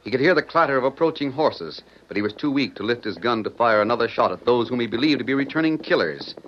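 A man's voice: medium pitch, lively intonation, fast talker, a little hiss or hum. A man narrating dramatically as the announcer of an old-time radio western. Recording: dull vintage radio recording. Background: faint music.

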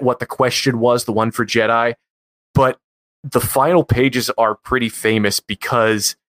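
Mid-pitched man explaining casually. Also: a bit bright. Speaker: podcast co-host. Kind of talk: casual explanatory fan chat.

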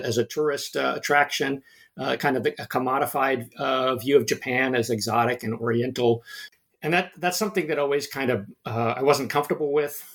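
A man's voice: medium pitch, fairly bright tone, slightly rough. A middle-aged man with an American accent answers interview questions as a history professor, talking in a hesitant way with many fillers and restarts. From United States (New Jersey). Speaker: history professor, podcast guest.

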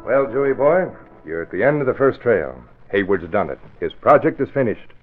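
A sly American gangster in a vintage radio serial. The voice is low and swings animatedly through slangy, cocky patter. The sound is thin and narrow, like an old broadcast. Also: male voice, big pitch swings, normal speed, soft background music. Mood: smug. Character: sly tough American gangster, crime boss Julian Carey. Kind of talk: old-time radio acting. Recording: thin, band-limited vintage radio recording.

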